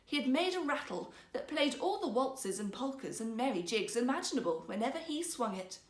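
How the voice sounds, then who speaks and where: A woman storyteller reading a fairytale for children in a theatrical, expressive manner. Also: high voice, fairly quick. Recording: echoey room.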